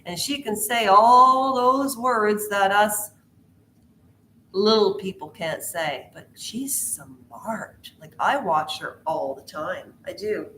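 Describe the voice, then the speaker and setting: A woman's voice: medium pitch, slow, bright, forceful tone, slight background noise. Chatty woman vlogger in an echoey room. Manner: chatty, jumps between tangents, quizzes the viewer. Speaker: pop culture vlogger. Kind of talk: rambling casual commentary to viewers.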